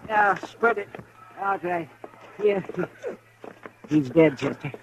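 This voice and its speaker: An actor playing a flustered older frontier doctor who stammers in a 1950s radio western. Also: male voice, lively intonation, slow, exaggerated voice. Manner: high, fluttery, stammering. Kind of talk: 1950s radio drama acting. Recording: muffled vintage radio recording.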